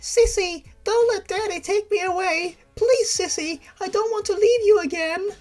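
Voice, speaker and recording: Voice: very high-pitched, moderate pace, a little mellow, faint music behind, voice pushed up. A narrator voicing a clingy little girl who pleads tearfully in a story. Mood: tearful pleading.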